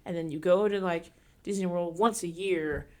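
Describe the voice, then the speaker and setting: Rambling woman guest, slightly low. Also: fairly even intonation, moderate pace, warm tone. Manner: rambling, heavy on "like" fillers. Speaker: podcast guest. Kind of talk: casual chat.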